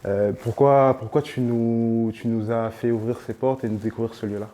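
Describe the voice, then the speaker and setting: A man's voice: medium pitch, steady tone, normal speed, warm tone. A man hosting a casual interview, asking questions in an informal, slangy way with many fillers. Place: on location in a sports venue.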